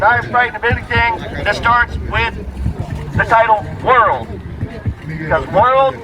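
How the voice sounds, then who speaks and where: A man protesting through a bullhorn outdoors, mocking passers-by and shouting slogans. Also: sits high, rough recording. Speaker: street protester. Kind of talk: amplified protest speech. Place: outdoors on a city street. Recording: street crowd and noise outdoors.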